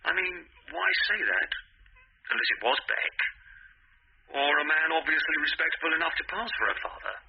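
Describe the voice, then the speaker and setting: An actor playing a businesslike, probing police detective in a radio drama. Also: male voice, a little high, animated, normal speed, gravelly. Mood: probing, brisk. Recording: muffled radio drama with faint noise.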